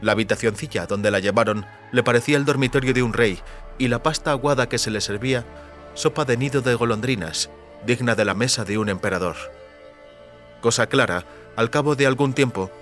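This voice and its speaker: A man narrating an audiobook in a measured, literary storytelling manner. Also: medium pitch, fairly bright tone, faint music behind.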